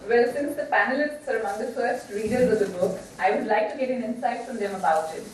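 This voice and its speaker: A woman's voice: on the high side, brisk, darkish tone, slight background noise. Woman moderator, formal announcing delivery, distant echoey mic. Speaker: event moderator. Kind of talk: moderating a book launch. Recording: echoey hall, far from mic.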